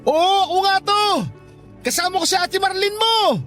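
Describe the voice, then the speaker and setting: A man's voice: very high-pitched, normal speed, fairly bright tone. A male actor with a Filipino accent playing an eager, trusting, affectionate older brother from the province in a radio drama. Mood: overjoyed, excited. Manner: exclamatory, swooping delivery. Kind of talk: emotional radio drama acting. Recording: faint music under dialogue. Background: faint music.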